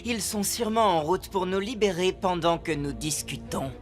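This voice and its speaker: A woman's voice: on the low side, moderate pace, loud music behind the voice, natural voice. A woman voicing a determined, defiant young hero in a cartoon. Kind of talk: animated series dubbing in French.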